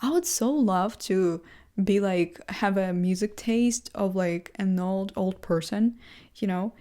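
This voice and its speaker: A young woman with a first language other than English, chatting casually and self-deprecatingly as she thinks out loud, full of fillers and restarts. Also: mid-range voice, slow pace. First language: not English. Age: young adult. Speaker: vlogger. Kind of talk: casual rambling monologue. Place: seated indoors in a chair.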